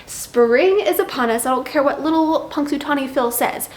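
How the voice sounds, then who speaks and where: A woman's voice: high voice, roomy sound. A young woman vlogging in a chatty, bubbly way, her voice still a little nasal from congestion. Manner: bubbly, chatty, drawn-out emphasis. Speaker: lifestyle vlogger. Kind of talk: chatty vlog talk to camera.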